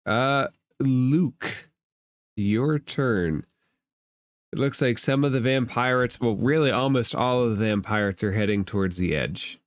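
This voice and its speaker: A man's voice: mid-range voice, a little slow, no echo. A man acting as game master in a tabletop role-playing game, giving rulings in a matter-of-fact way.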